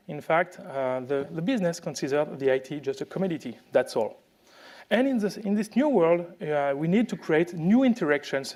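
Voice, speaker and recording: A man with a French accent gives a conference talk, his voice pitched somewhat high for a man. He speaks haltingly, restarting words and filling pauses with "uh" as he works through his points. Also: darkish tone. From France. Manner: halting, frequent restarts and fillers. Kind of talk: conference presentation.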